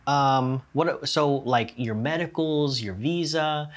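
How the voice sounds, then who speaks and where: A young man hosting an interview, relaxed and curious, asking follow-up questions and laughing along, recorded with a lapel mic in a small studio room. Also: medium pitch, takes it slowly. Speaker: podcast host, former cruise ship crew. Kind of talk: casual interview chat.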